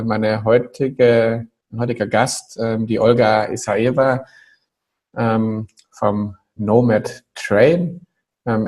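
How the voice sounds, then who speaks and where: A man's voice: mid-range voice, darkish tone. German guy hosting a video call interview, lots of ums, careful english. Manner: hesitant, many fillers. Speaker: interview host. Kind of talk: casual online interview.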